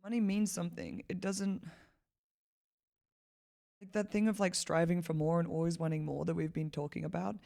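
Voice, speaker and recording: A woman from Malawi talks as a podcast guest with a gravelly, fry-laden voice. She rambles casually, leaning on "like" as filler and laughing now and then. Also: fairly low pitch, level tone, normal speed, long pauses, dark tone. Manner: rambling, heavy use of "like" fillers, laughs often. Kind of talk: casual conversational interview.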